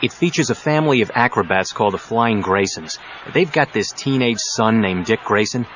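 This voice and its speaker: A man's voice: lowish voice, expressive, moderate pace, fairly bright tone. An actor playing a self-assured, wealthy leading man in an audio drama, speaking in a wry, understated way. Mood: smooth, flirtatious. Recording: dull cassette audio, faint noise.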